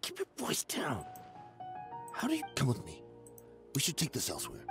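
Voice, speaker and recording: A woman's voice: very deep voice, huge pitch swings, moderate pace, over music, full character voice. A woman acting a shifty, nervous smuggler in a video game, evasive and wheedling. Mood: nervous, furtive. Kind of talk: scripted game dialogue.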